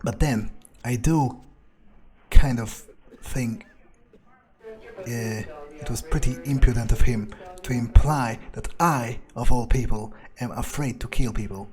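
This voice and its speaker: A man's voice: medium pitch, unhurried, darkish tone, poor audio. A man roleplaying a game character in an improvised monologue, musing hesitantly to himself and swearing freely. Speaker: gamer roleplaying his character.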